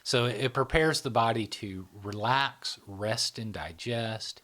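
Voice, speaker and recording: A man's voice: mid-range voice, slow, fairly bright tone. A man in his fifties who hosts a casual psychology podcast chat, explaining things in small steps and checking in with "right?". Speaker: podcast host.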